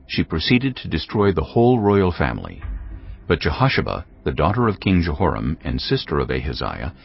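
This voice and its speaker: A man with an extremely deep, gravelly voice reads scripture steadily and in measured tones, with a lively rise and fall in pitch. The sound is a bit dull, with faint music underneath. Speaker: narrator. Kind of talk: scripted Bible narration.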